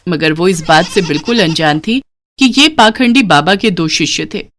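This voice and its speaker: A woman's voice: lowish voice, lively intonation, normal speed, a bit bright. A woman storyteller reading scripted narration for a tale.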